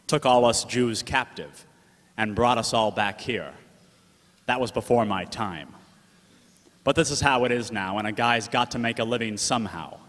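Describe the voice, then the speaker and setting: An actor playing a wry, world-weary, streetwise gumshoe, narrating a noir radio drama in a deadpan way. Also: male voice, lowish voice. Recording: hall with long reverb.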